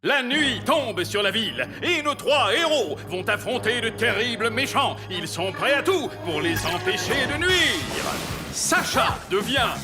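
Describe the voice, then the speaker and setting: A man's voice: very high voice, big pitch swings, unhurried, full character voice. A man performing the dramatic narrator of a children's superhero cartoon, in delivery. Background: loud music.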